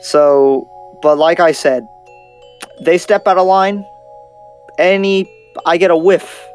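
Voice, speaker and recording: A man's voice: high voice, slow pace, clear, resonant tone, faint music behind. A man playing a blunt, skeptical, battle-worn demon slayer in an improvised anime game roleplay, speaking frankly and emphatically with repeated, restarted phrases. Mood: wary, doubtful.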